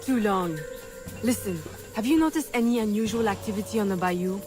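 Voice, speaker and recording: A woman's voice: medium pitch, fairly quick, music in the background, close to an everyday voice. An actress voicing a determined, defiant young woman assassin in a video game, earnest and insistent. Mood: confident. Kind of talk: dramatic video game voice acting.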